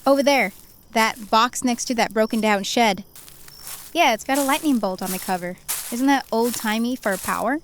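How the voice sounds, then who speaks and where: A woman's voice: a little high, moderate pace, a little hiss or hum, natural voice. A woman acting as a worried, sensible young friend in a horror audio drama, speaking in a cautious, disapproving and pleading manner. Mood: uneasy.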